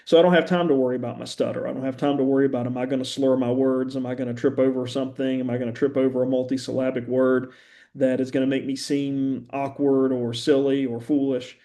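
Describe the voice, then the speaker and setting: A middle-aged man from West Virginia, a university professor, giving a conversational, earnest introductory lecture with frequent "uh" pauses and an occasional stammer. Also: mid-range voice, little pitch movement, warm tone. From West Virginia, USA.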